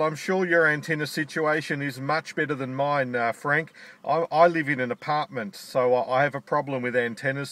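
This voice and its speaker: A man's voice: fairly high pitch, a bit bright. Man chatting on ham radio. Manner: spells out call signs in phonetic alphabet. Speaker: amateur radio operator. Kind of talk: ham radio contact chat. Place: inside a parked car.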